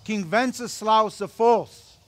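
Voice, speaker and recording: Haughty medieval army envoy, high male voice, measured formal game dialogue. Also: fairly bright tone. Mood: imperious. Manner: formal, measured, coolly courteous. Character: smooth, menacing male army commander, haughty noble envoy. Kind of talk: video game cutscene acting.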